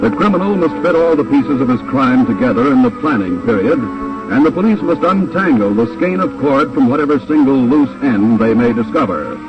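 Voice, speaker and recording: A man's voice: medium pitch, lively intonation, moderate pace, highs cut off. A man announcing and narrating a 1950s radio crime drama in a dramatic, declamatory scripted style. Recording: old radio recording with music.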